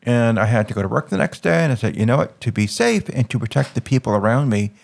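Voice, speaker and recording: A man chatting casually as a guest on his partner's podcast, teasing and insisting in a deadpan way. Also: mid-range voice, quick pace, creaky. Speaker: podcast guest, the host's husband. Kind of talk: casual chat.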